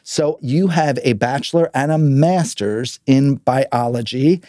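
A man's voice: medium pitch, a little slow, warm tone, no room sound, clean studio sound. A man hosting an interview in a casual, conversational way, informal and affirming with his guest. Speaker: podcast host interviewing a guest.

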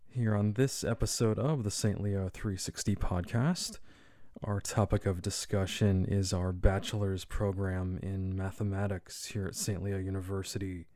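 A man's voice: lowish voice, warm tone, no echo, clean studio sound. A man hosting a podcast interview, friendly and upbeat as he asks his guest questions. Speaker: podcast host.

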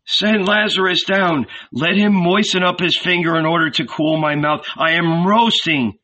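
A man's voice: high voice, normal speed, bright, forceful tone, pitched above the performer's own voice. A man voicing an anguished dead rich man who cries out and begs from hellfire, in a storybook reading. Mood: anguished, begging. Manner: crying out, begging.

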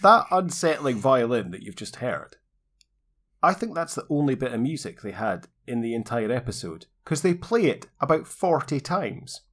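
A man's voice: medium pitch, big pitch swings, normal speed, a bit bright. A man chatting casually about television as a podcast co-host.

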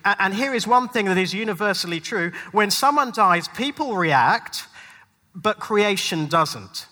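A man preaching as a pastor in a dramatic spoken-word style, with rhetorical repetition and deliberate pauses, recorded live in a large venue. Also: sits high, lively intonation, bright, forceful tone. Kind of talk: dramatic sermon with rhymed spoken-word passages. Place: large indoor venue, speaking live to a gathering. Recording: live hall with long reverb.

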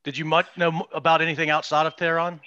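Male podcast host in his 50s, friendly and halting, crisp bright tone, dry room. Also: a little high, little pitch movement, no echo, muffled recording. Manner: friendly, hesitant with fillers. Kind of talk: casual interview chat.

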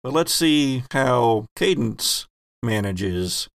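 A man with a gravelly, creaky voice runs a tabletop game, calmly weighing the rules and handing out rulings. His mid-pitched voice sounds close and dry. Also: no echo. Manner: measured, explains rules and rulings. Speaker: game master.

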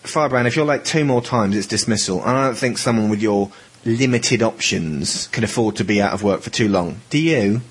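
A man's voice: on the low side, fairly quick, slightly rough. A man playing a short, fat, petty boss in an audio drama, scolding in a sarcastic, nagging manner. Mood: stern, threatening.

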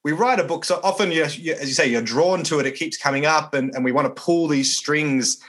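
Man hosting, slightly high voice. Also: fairly quick, fairly bright tone. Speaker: podcast host, church minister. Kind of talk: conversational podcast interviewing.

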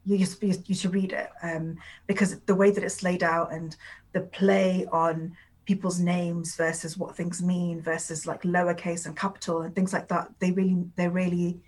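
A woman's voice: fairly low pitch, little pitch movement, normal speed, darkish tone. A woman whose first language is Urdu, speaking as a poet in a conversational interview and giving long, rambling answers full of filler words.

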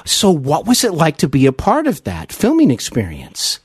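Animated, higher-voiced male podcast host. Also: normal speed, slightly rough, no room sound, clean studio sound. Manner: admiring, fan-like, emphatic. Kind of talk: conversational celebrity interview.

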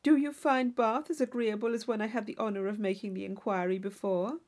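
A woman voicing a witty, urbane young gentleman in a classic novel audiobook, with a playful, mock-solemn, teasing manner. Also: medium pitch, fairly even intonation, fairly quick, close to an everyday voice.

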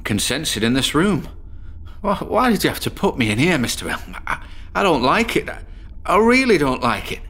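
A man's voice: on the high side, sing-song, moderate pace, fairly bright tone, slightly rough, full character voice. An actor playing a frightened, agitated male patient in a horror audio drama, stammering nervously as he speaks. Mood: fearful, pleading.

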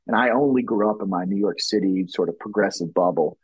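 Husky low-voiced man, rambling speech. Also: a little fast, no echo, low-quality recording. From Louisiana. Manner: rambling, many restarts and "you know" fillers. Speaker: chief brand strategist, podcast guest. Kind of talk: conversational podcast interview.

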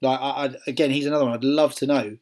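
A man's voice: medium pitch, fairly even intonation, fast, a little mellow. A man with a Welsh accent chatting casually as a podcast co-host, musing aloud with ums and restarts. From Wales. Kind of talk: casual chat.

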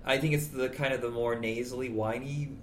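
A man's voice: medium pitch, fairly even intonation, fairly quick, slight background noise. Man with a nasal, whiny voice. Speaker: music podcast co-host. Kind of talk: casual chat.

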